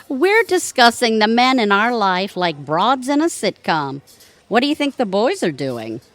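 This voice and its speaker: Actress as an animated, articulate sitcom wife. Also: female voice, a little high, unhurried, dry recording. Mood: wry. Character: articulate, plotting married woman in a sitcom. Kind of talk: audio theatre comedy acting.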